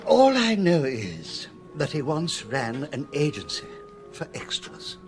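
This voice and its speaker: A man's voice: a little high, wildly swooping pitch, slow, faint music behind, heavily acted. An actor playing a breezy, discreet, gossipy male informant with a British accent, knowing and obliging, in a radio drama.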